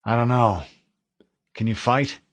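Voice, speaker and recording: A man's voice: medium pitch, a little slow, voice pushed up, lightly acted. A man reading an audiobook, voicing a tense young male marine corporal who speaks in an urgent, strained way. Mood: weary.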